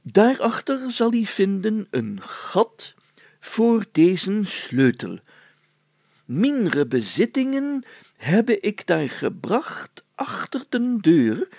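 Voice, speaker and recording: Man playing a pompous, gravel-voiced village mayor. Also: sits high, sing-song, a little mellow, no room sound, voice pushed up, heavily acted. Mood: solemn declaiming. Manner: halting, hemming, then solemnly declaiming archaic text. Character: pompous village mayor reading old documents aloud, self-important, deliberate.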